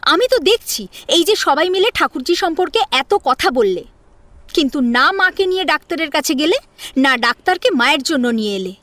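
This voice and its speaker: A woman's voice: high-pitched, lively intonation, normal speed, fairly bright tone, dry recording. A woman playing an outspoken, indignant daughter-in-law in an audio family drama, reproaching the household with pointed questions. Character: outspoken, indignant young wife defending her sister-in-law. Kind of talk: Bengali audio story drama.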